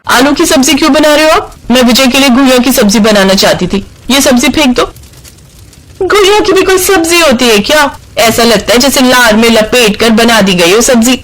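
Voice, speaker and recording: A man puts on a young Indian daughter-in-law for a cartoon. The voice is very high and swings about dramatically, with the sharp tongue of a born squabbler. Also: lively intonation, normal speed, poor audio. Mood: defiant, bickering. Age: young adult. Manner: bickering, dramatic. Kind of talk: Hindi animated moral-story acting.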